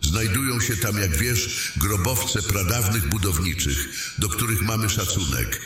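Fantasy king, male actor: lowish voice, composed, formal authority, heard in a big echoing hall. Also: animated, a little slow, a bit bright, a little hiss or hum. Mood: calm, patient. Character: measured, dignified male king presiding over council. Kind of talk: fantasy audio drama acting. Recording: very echoey hall sound.

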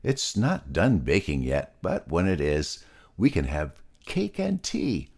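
A man's voice: low voice, wildly swooping pitch, slow, creaky, husky, exaggerated voice. A man voicing a kind, motherly female pig who speaks graciously. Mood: welcoming. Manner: gracious, warm.

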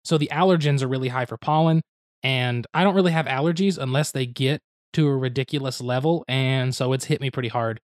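A man from Oklahoma whose voice is a little hoarse from allergies, rambling along easily in casual podcast chat. He sits close to the mic in a dry room with no echo, his voice at an ordinary pitch. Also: a little fast. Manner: rambling, easygoing. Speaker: podcast co-host.

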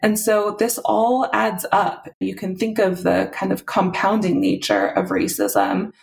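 A woman, a health policy advisor guesting on a podcast, explaining her points in a steady, methodical way. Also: medium pitch, unhurried, vocal fry.

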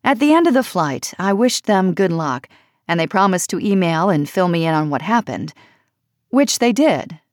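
A woman narrates a personal essay for an audiobook. Her voice sits a little low. She phrases each line in a measured but expressive way, in a dry, echo-free recording.

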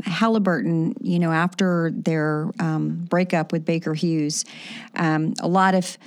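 A woman's voice: sits low, a little mellow, dry recording, studio quality. Enthusiastic woman radio host. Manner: enthusiastic, promotional, many fillers. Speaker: radio show host and magazine publisher. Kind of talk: casual radio talk show chat.

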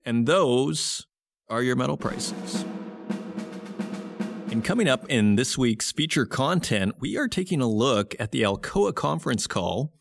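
A man's voice: medium pitch, gravelly. A man hosting a podcast, giving conversational market commentary and explaining in short phrases as he thinks aloud. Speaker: podcast host.

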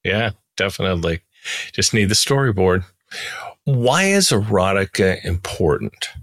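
A man's voice: low voice, big pitch swings, a little slow, a bit bright, no echo, studio quality. A man interviewing a guest conversationally as a podcast host.

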